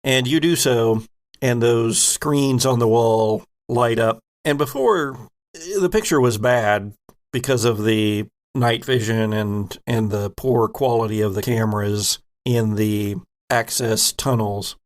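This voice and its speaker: A man narrating as game master of a tabletop role-playing game, describing scenes slowly. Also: mid-range voice.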